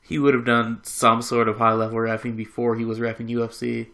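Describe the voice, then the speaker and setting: A man's voice: mid-range voice, level tone, a little fast, creaky, bright, forceful tone, slight background noise. A man co-hosting a casual sports podcast, making short, dry remarks. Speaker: podcast co-host. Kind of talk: casual chat.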